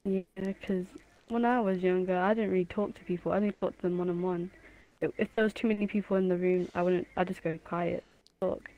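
A woman on a voice call who speaks softly and quietly, with frequent laughs. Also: fairly low pitch, steady tone, a little fast, muffled recording. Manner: quiet, soft-spoken, laughs often. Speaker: guest on call. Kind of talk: casual call chat.

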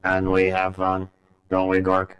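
A man's voice: deep voice, monotone, measured pace, a bit bright, recorded from a distance, slight background noise. A man voicing a crude, leering male alien who boasts, stammers and cackles in a comic sketch. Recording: roomy echo.

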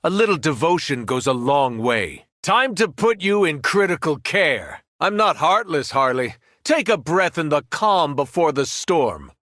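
An actor playing a corrupted superhero turned merciless tyrant in a video game, taunting and commanding with theatrical menace. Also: male voice, fairly high pitch, sing-song, a little slow, hardly any pauses, fairly bright tone, no room sound, clean studio sound. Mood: mocking, taunting. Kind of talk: video game villain voice acting.

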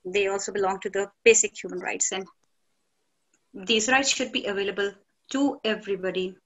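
A woman's voice: medium pitch, fairly even intonation, normal speed, a bit bright, muffled recording. A woman from Pakistan, a doctor, answering earnestly as a panelist on a video call.

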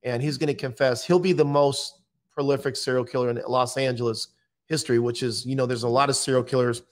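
A man's voice: mid-range voice, steady tone, a little fast. A middle-aged American man talking in a blunt, matter-of-fact way, as a guest in a conversational interview. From United States. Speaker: podcast guest, true-crime interviewer and author. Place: indoor room, on a video call. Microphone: microphone on boom arm.

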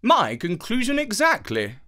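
A proud Victorian gentleman detective in a children's storybook, acted by a man. The voice is raised and swings widely in pitch, with formal, precise and emphatic phrasing. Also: wildly swooping pitch, measured pace, full character voice. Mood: matter-of-fact.

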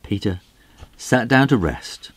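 A middle-aged man reading a children's storybook aloud expressively, with dramatic, drawn-out phrasing and a gravelly, husky voice that drops into hushed moments. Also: medium pitch, animated, no room sound, low-quality recording.

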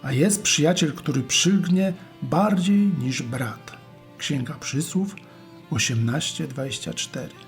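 A man doing a scripted reading of Bible verses in a measured, solemn way. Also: a little high, faint music behind. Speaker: reader of Bible verses and titles.

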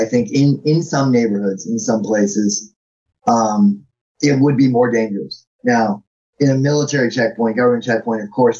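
A man's voice: medium pitch, darkish tone, breathy edge, highs cut off. An American man talking casually as a podcast guest, explaining things in an informal, practical way and often checking in with the listener. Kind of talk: casual interview talk.

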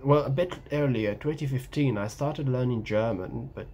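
A man's voice: medium pitch, normal speed, warm tone. Young Egyptian man, hesitant casual vlog delivery, medium voice, slight background hiss. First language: Egyptian Arabic. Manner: hesitant, many ums and restarts, gestures while talking. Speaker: language-learning vlogger. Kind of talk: casual talking-head vlog. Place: small room at home by a window.

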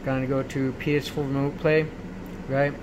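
Man rambling casually over clear background noise. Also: medium pitch, normal speed. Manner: rambling, checks in with frequent "right?". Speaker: tutorial host. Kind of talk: casual software tutorial talk. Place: indoors in a room at home. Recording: noisy amateur home recording. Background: clear steady background noise.

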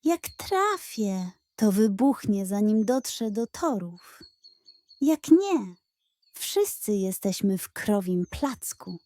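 A woman's voice: medium pitch, sing-song, slow, warm tone. A woman voicing a brave, decisive male Wild West sheriff in a bedtime audio story, talking in a commanding, urgent way. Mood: tense.

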